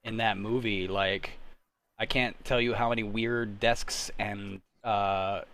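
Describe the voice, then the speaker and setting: A man's voice: lowish voice, fairly even intonation, slow, fairly bright tone, clear, resonant tone. Enthusiastic American man in casual chat. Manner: enthusiastic, profane, self-deprecating. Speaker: podcast co-host and sound designer.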